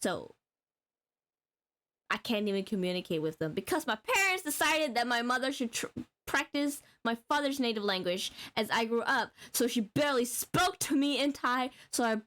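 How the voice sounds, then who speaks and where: Casting: young female game streamer from Denmark, highish voice, excitable, giggly, sweary, casual chat. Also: normal speed. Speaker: live streamer. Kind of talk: casual gaming livestream chat.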